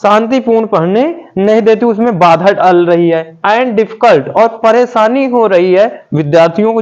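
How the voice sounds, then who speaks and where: North indian guy teacher, really slow and repetitive explaining, kinda high voice, asks then answers himself. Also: a little mellow. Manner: repetitive, asks and answers own rhetorical questions. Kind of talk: explanatory lesson, English phrases glossed in Hindi. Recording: dull lo-fi audio.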